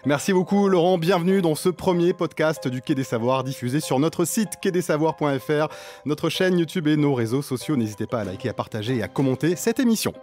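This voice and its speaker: A man's voice: fairly high pitch, expressive, brisk, a little mellow, faint music behind. A man hosting a podcast, opening the show like an announcer. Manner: announcer-like presentation. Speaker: podcast host.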